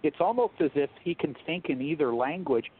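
A man speaking as a guest theologian in an interview, explaining in a measured, thoughtful, scholarly way over a thin phone-like line. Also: a little high, lively intonation, husky. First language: English.

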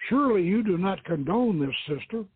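A man's voice: high-pitched, animated, a little fast, breathy edge, exaggerated voice. A man voicing a stern, accusing male apostle in a declamatory way, in a novel reading. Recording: thin, phone-like radio line.

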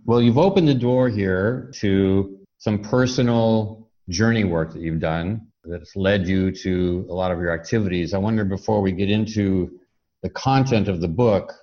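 A man hosting a reflective interview, speaking in a halting way with pauses mid-phrase. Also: sits low, mellow. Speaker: interview host. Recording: very lo-fi, phone-like sound.

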